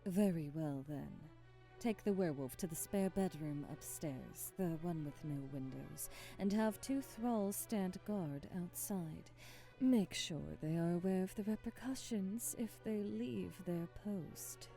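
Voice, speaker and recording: An imperious vampire aristocrat, played by a woman, speaks in a lowish, mellow voice at an unhurried pace. She is formal and theatrical, every bit the elegant, commanding mistress of an audio roleplay, with faint music behind her. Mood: controlled, commanding. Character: haughty aristocratic female vampire hostess, elegant, commanding, coldly protective. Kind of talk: scripted ASMR roleplay audio drama.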